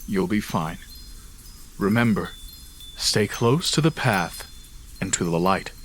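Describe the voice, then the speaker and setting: A man playing a solemn, resolute lord warden in a fantasy audio drama, speaking in a grave, measured way. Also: deep voice, lively intonation, fairly bright tone, a little hiss or hum, exaggerated voice. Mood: gentle, grave.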